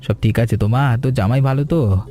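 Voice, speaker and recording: A kind, steady cartoon husband and father, voiced by a man, calm and reassuring toward his family. His voice is mellow and warm at a middle pitch. Soft music plays behind him. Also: a little fast, natural voice. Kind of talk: cartoon voice acting.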